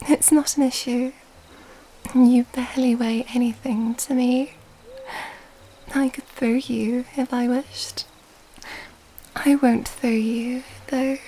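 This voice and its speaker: Slow, whispering seductive vampire woman, acted out by a woman. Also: a little high, steady tone, slight background noise, low-quality recording. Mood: playful, doting. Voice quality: soft, breathy whispering. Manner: slow, intimate, teasing delivery. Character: seductive, mysterious, teasing female vampire at a masquerade ball. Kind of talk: ASMR roleplay.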